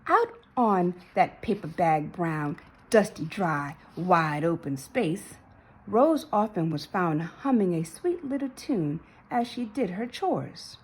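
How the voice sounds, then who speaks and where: A woman reading a children's story aloud in an expressive way, with dramatic emphasis and drawn-out words. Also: fairly low pitch, slightly rough. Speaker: storybook reader.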